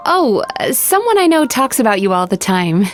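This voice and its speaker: A courteous female dream-eating spirit in a video game. Her voice sits on the high side, soothing and earnest with an apologetic streak. Music plays softly behind her. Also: very animated, normal speed. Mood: friendly, explaining. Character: gentle female dream-eating yokai therapist, polite and apologetic. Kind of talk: scripted video game voice acting. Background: background music.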